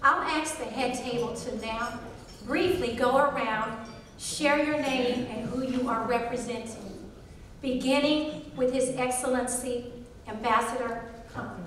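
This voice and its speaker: A woman acting as emcee at a formal event, announcing in a polite, measured way. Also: on the high side. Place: large banquet hall. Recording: distant mic in echoey hall with noise.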